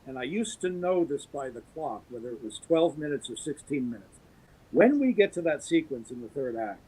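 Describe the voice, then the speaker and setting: Male podcast guest, mid-pitched, enthusiastic and rambling, with lots of fillers and restarts. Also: husky, poor audio. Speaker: guest actor. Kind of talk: casual podcast chat.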